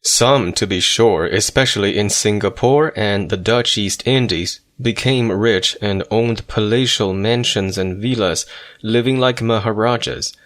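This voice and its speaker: A man narrating a memoir audiobook in a measured, reflective manner. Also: fairly low pitch.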